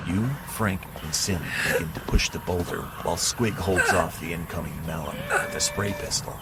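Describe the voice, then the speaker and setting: Deep-voiced male horror narrator. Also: heavy background noise. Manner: steady, measured storytelling. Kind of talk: scripted second-person horror narration. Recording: loud music score under narration.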